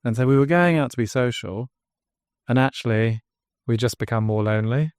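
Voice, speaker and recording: An English man, guest on a podcast interview, telling his story as a drinks brand founder in an earnest pitch. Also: a little fast, a little mellow, smooth, no room sound, studio quality. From England.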